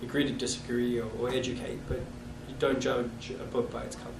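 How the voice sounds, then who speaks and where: A man in his thirties with a South African accent, recalling his past with halting restarts in an oral history interview. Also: mid-range voice, little pitch movement. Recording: echoey room, distant mic, noisy.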